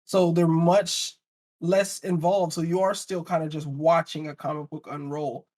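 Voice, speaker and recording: Higher-pitched man, emphatic panel talker. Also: flat delivery, unhurried, darkish tone. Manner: emphatic, argumentative, rhetorical questions. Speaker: podcast panelist. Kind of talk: casual panel discussion.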